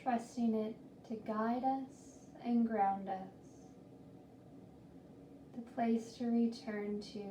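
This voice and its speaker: Slow, soft-edged woman guiding meditation, roomy sound. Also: medium pitch. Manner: gentle, soothing, deliberate with long pauses. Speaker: meditation guide. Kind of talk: guided body scan meditation. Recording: roomy, distant mic with faint noise.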